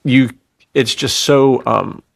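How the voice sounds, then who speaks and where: A man co-hosting a podcast, chatting informally and reflectively in a halting way. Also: medium pitch, creaky, no room sound. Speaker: podcast co-host. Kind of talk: casual podcast chat.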